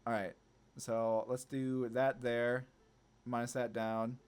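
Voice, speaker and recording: A man's voice: mid-range voice, flat delivery, slow, clean tone. A man giving casual card game commentary, thinking aloud and often questioning his own choices as he goes. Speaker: gameplay video host. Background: background music.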